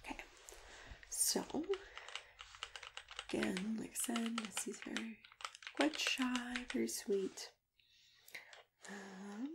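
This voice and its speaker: A woman speaking softly and breathily in an ASMR roleplay as a consultant, often slipping into a whisper, gentle and halting, close to the microphone. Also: mid-range voice, creaky. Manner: gentle, unhurried, halting, with small agreeing hums. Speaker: ASMR roleplayer acting as a monster matchmaking consultant. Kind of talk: soft-spoken ASMR roleplay, improvised one-sided client consultation. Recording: close ASMR recording with typing, clicks, hiss.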